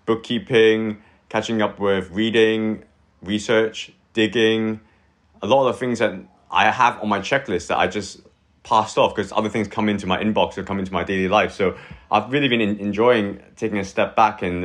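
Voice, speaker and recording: A man's voice: fairly low pitch, moderate pace. A man in his thirties from Hong Kong, a guest chatting casually in a loose, rambling way full of fillers. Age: 30s. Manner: relaxed, rambling, many fillers like "like" and "you know". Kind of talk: casual chat.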